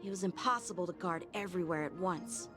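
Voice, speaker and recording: A woman's voice: on the low side, fast talker, lightly acted. A woman voicing an impatient young companion who talks back defensively and sharply. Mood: explaining. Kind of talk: video game voice acting. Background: game music.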